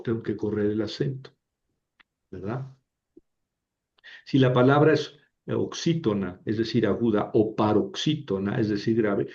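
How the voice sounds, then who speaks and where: A man's voice: mid-range voice, mellow. A man teaching a language lesson, explaining patiently and often checking with "¿verdad?". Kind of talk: lecture. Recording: dull lo-fi recording.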